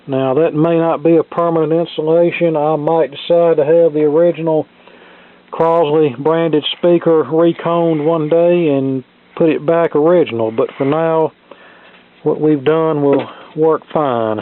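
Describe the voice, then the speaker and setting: A man's voice: on the high side, steady tone, unhurried. A man giving casual, plainspoken commentary as he presents a radio repair, in a folksy way. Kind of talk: casual workbench repair narration. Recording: phone-like lo-fi sound, music behind. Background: tube AM radio playing.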